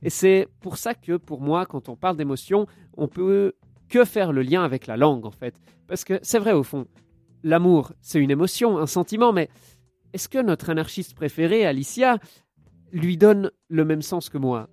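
A man's voice: fairly high pitch, lively intonation, normal speed, a little mellow, no room sound, faint music behind. A man hosting a casual radio-style chat, joking and chatty, raised with Italian alongside French. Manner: joking, chatty, drifts into asides. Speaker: podcast co-host.

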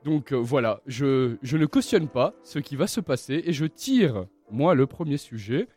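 A man's voice: mid-range voice, animated, measured pace, dark tone, soft background music. A young man hosting a live student radio show from a studio in a chatty, joking way.

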